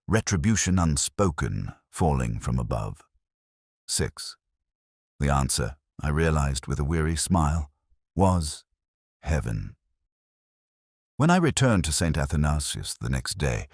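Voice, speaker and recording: A man playing a weary, pensive detective inspector who narrates his case in a measured, literary, brooding way. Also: rumbling low, no room sound, clean studio sound, heavily acted. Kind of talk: scripted first-person mystery narration.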